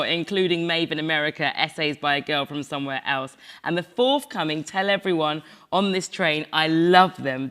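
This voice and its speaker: A woman's voice: on the low side, fairly bright tone. A woman hosting a panel discussion, introducing guests in a chatty way and laughing often. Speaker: panel host. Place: event venue in Glasgow.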